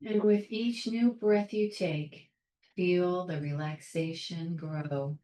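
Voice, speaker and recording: A woman leading a guided meditation in a calm, soothing, measured way, leaving long pauses between short phrases. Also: on the low side, echoey room, poor audio. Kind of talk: guided meditation, soft instruction.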